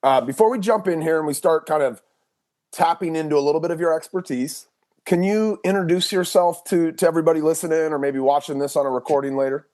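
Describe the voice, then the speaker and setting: A man's voice: a little high, quick pace, darkish tone. Male podcast host, casual and rambling. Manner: loose, rambling with many restarts.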